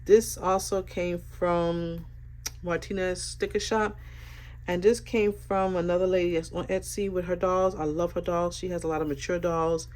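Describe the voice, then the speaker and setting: A woman's voice: on the low side, moderate pace. A woman with a stuffy, congested voice, chatting casually and rambling through a planner flip-through with lots of fillers. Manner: relaxed, rambling, many fillers. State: stuffy from a sinus infection. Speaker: planner vlogger.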